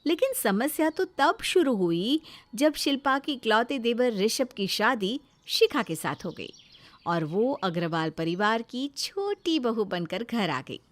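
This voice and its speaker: A woman's voice: on the high side, big pitch swings, measured pace. A woman narrating a scripted moral story in a dramatic storytelling style.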